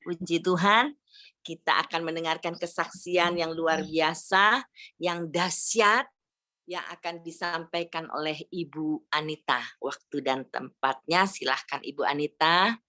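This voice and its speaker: A woman's voice: low voice, pushed and bright. A woman leading a women's church service, calling out songs and praise and drawing out her words in a sing-song manner. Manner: drawn-out, sing-song calls to the congregation. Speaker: worship leader. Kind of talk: leading congregational singing and praise.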